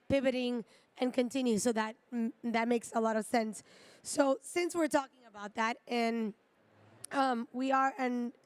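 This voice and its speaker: A woman hosting an interview conversationally, explaining ideas in measured phrases with pauses before putting questions to her guest. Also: fairly high pitch, no room sound. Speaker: interviewer from a college. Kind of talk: conversational fireside-chat moderation.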